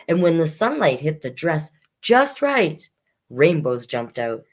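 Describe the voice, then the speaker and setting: A woman hosting a children's storytime, reading picture books aloud expressively and adding chatty asides. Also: sits low. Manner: expressive, unhurried, comments on the story as she reads.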